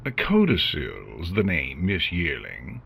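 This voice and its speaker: An actor playing a smug unicorn lawyer, formal, measured and condescending, in a fan fiction audio drama. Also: male voice, extremely low pitch, huge pitch swings, gravelly, fairly bright tone, slight background noise, heavily acted. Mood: smug, officious. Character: smooth-talking smug male unicorn corporate lawyer.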